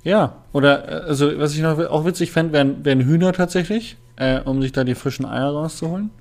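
Male co-host from Berlin, casual, hesitant with filler pauses, remote call. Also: fairly high pitch, creaky. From Berlin, Germany. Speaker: podcast co-host. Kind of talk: casual chat.

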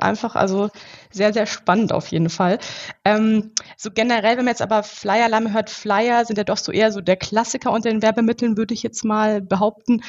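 A woman interviewing a guest, upbeat and encouraging, who asks long, winding questions. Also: on the high side. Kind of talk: business interview. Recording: slightly dull audio.

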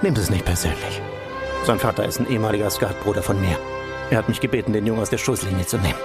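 An actor in a radio play playing a smug, cynical senior security official, condescending and deliberate. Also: male voice, on the low side, lightly acted. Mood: smooth, dismissive. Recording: loud music under the voice. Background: loud music.

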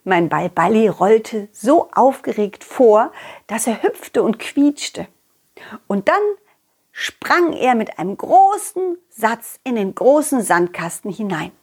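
Woman acting as a confident friendly dog, children's storytelling, unhurried pace, husky tone, excitable childlike manner. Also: fairly high pitch, exaggerated voice. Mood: eager narrating. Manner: excitable, childlike, speaking directly to child listeners. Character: confident, loyal, cheerful dog telling children stories. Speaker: puppeteer and storyteller. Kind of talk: children's audio play storytelling.

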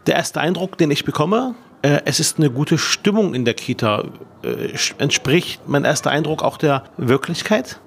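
A man's voice: fairly high pitch, moderate pace, gravelly, a little hiss or hum. Curious man in his forties interviewing. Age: 40s. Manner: curious, friendly questions with fillers. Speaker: politician interviewer. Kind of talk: casual interview. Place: daycare centre.

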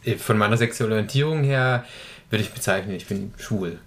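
A man's voice: fairly low pitch, brisk, fairly bright tone. Reflective man in his thirties. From rural Germany. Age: 30s. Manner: reflective, hesitant, many fillers. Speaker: podcast guest. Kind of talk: casual conversation.

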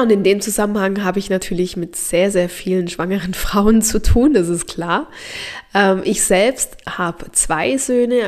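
A woman's voice: medium pitch, a little mellow. A woman hosting a podcast as a childbirth coach, talking informally to expectant mothers, slightly nasal from a mild head cold, with frequent hesitations.

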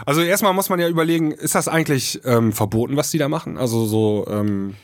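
A man's voice: mid-range voice, fast talker, no room sound, clean studio sound. A man with a German accent co-hosting a casual podcast discussion, explaining things and often seeking agreement with little tag questions. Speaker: podcast co-host.

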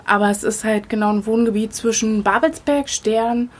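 A woman in her thirties from Potsdam, answering questions in a casual street interview outdoors, chatty and relaxed with frequent filler pauses. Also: a little high. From Potsdam, Germany. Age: 30s. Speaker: interviewee, local resident. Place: outdoors in a residential area.

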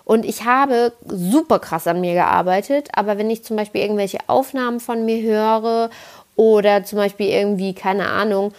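A young woman from the Palatinate talks into a podcast mic with a faint Palatinate accent. She chats in a loose, self-mocking way, often starting a sentence over. Her voice sits at an ordinary pitch for a woman. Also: normal speed. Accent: slight Palatinate German. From Palatinate, Germany. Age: 20s. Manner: chatty and self-ironic, rambling with many restarts. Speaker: podcast host. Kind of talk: casual podcast monologue. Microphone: podcast microphone.

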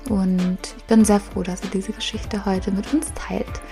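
A woman hosting a podcast interview in a casual, friendly chat style, quick to laugh. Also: mid-range voice, little pitch movement, warm tone, soft background music. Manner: laughs readily. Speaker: podcast host interviewing a guest.

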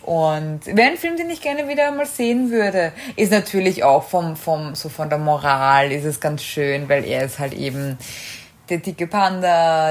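A woman with an Austrian German accent chatting casually as a podcast co-host, often searching for words and rephrasing mid-sentence. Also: low-pitched. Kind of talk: casual unscripted chat about films and series.

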